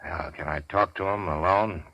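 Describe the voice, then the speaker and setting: An actor playing a wounded, cynical gangster in 1940s radio crime drama, terse, slangy and sardonic. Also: male voice, sits low, fairly even intonation, normal speed, a bit bright, dry recording, muffled recording.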